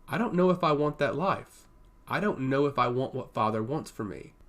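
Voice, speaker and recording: A man's voice: medium pitch, expressive, normal speed, a bit bright. A man voicing a polite, self-assured young princess in a fantasy audio story, speaking formally. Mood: earnest, torn.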